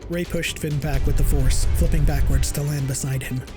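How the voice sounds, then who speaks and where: A man's voice: on the high side, fairly even intonation, normal speed, over music. A man delivering dramatic scripted narration as the narrator of an audio drama. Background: dramatic score.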